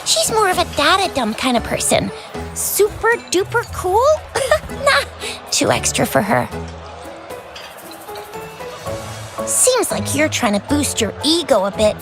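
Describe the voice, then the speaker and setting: A woman's voice: high-pitched, very animated, moderate pace, over music. A woman playing a skeptical, upbeat young companion in a video game, casual and teasing. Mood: incredulous, teasing.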